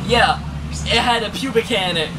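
A man's voice: fairly quick, lightly acted. A young man putting on the voice of a cranky old war veteran making a prank phone call. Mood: loud, insistent. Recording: noisy moving bus, engine rumble.

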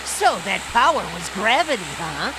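A man's voice: sits high, sing-song, normal speed, background noise. A man voicing a cocky, impatient young male hawk gang leader in a video game cutscene, brash, blunt and slangy. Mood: impatient, intrigued. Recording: game audio under loud music.

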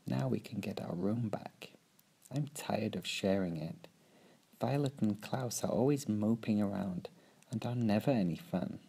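A man voicing a loud, obnoxious young boy in a storybook, rude, blunt and complaining. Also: lowish voice, unhurried, gravelly, husky, heavily acted. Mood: sulky, complaining.

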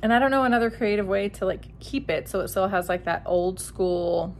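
A woman's voice: medium pitch, fairly even intonation, brisk, a little mellow. Casual American woman vlogger, faint noise behind. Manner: informal, rambling, musing aloud. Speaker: vlogger showing features of their house. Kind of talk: casual home-tour vlog chat. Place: indoors, rooms of a house. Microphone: handheld phone at arm's length. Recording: phone audio with some room noise.

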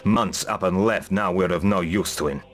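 Man as a wary, low-voiced mercenary lieutenant. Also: normal speed, close to an everyday voice. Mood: wary, irritated. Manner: serious, wary, plain-spoken. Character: shrewd, suspicious male mercenary lieutenant. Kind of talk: fantasy video game acting.

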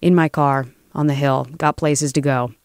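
A man's voice: on the high side, fairly even intonation, normal speed, dry recording, clean studio sound, close to an everyday voice. A man voicing a tense, secretive male driver in a thriller story, clipped and guarded. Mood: guarded, strained.

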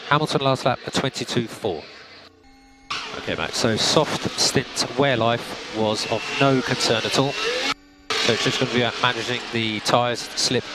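A man working as race engineer, giving calm, clipped gap numbers and setup instructions to a driver. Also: medium pitch, noisy background. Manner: calm, methodical, clipped updates. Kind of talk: team radio instructions. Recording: compressed team radio with music.

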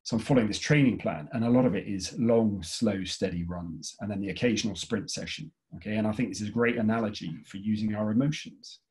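Calm man giving webinar tips on a video call. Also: on the low side. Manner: calm, measured, frequent "okay?" check-ins. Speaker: webinar speaker. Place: home study with bookshelves. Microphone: video call webcam.

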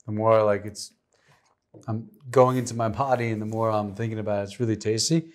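An enthusiastic man in his thirties hosts a relaxed wine tasting chat. He rambles, circles back and gushes about what he tastes. He is recorded on a lapel mic in a quiet studio. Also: lowish voice, a little fast. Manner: enthusiastic, rambling with many likes. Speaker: host. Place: studio set with vineyard backdrop.

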